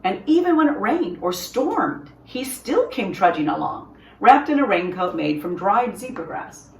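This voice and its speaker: A woman reading a children's story aloud in an expressive storyteller's style, with deliberate, dramatic phrasing for young listeners. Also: medium pitch, a little hiss or hum. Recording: roomy echo, distant from microphone.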